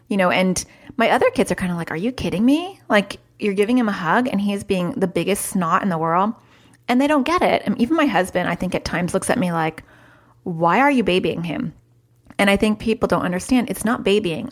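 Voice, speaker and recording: A woman hosting a solo podcast, talking in a chatty, upbeat way with lively jumps in pitch. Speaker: podcast host, parent.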